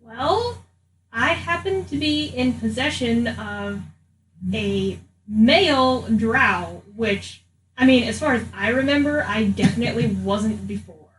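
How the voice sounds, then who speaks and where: A woman's voice: on the high side, slow pace, fairly bright tone. A woman bantering in character as a player in a tabletop roleplaying game, with a wry, casual manner. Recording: echoey room, distant mic, faint noise.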